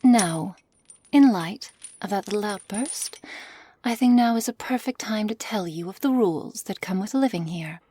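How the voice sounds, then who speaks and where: A woman playing a cold, menacing witch experimenter in an ASMR roleplay, speaking in a breathy, measured, condescending way. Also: medium pitch, animated, mellow, dry recording. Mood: stern, threatening. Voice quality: breathy, often whispery. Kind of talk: ASMR roleplay, scripted acting to listener.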